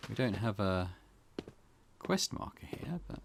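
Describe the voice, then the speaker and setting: A man's voice: on the low side, sing-song, a little slow, gravelly, noisy background. A man hosting a gaming video with casual commentary, thinking aloud and muttering reactions as he plays. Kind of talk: casual let's-play commentary.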